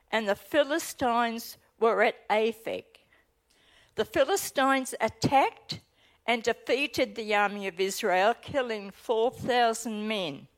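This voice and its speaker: A woman pastor with an Australian accent giving a sermon and reading scripture aloud, earnest and deliberate, with small hesitations. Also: fairly high pitch.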